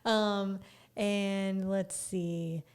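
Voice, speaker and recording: Woman podcast co-host, bit raspy and tired, soft mellow tone, just chatting casually. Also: mid-range voice, steady tone, very slow. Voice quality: slightly raspy. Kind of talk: casual chat.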